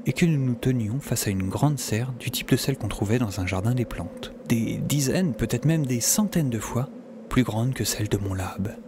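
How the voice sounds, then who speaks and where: An actor playing a wry scientist who narrates his own adventure in the first person, in a measured, confiding way. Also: mid-range voice, noisy background, close to an everyday voice.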